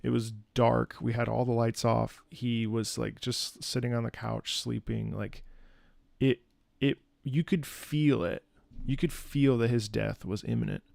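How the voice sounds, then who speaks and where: A man giving casual, candid reaction commentary as a host, thinking aloud in a halting, reflective way. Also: mid-range voice, fairly even intonation, creaky, darkish tone, dry recording. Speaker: host, opera singer reacting to a game.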